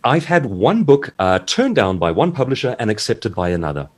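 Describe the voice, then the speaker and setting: A man's voice: medium pitch, sing-song, normal speed, breathy edge. A man speaking as a guest author in an online seminar, giving advice in articulate, emphatic, rhetorical phrasing. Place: online video call.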